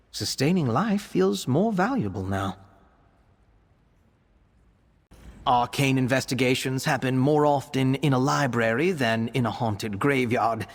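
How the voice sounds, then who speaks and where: A man playing an earnest, bookish young male vampire alchemist in a video game, speaking in an articulate, expressive way. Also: mid-range voice, slightly rough, long reverb tail, heavily acted. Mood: curious, bemused. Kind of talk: video game character voice acting.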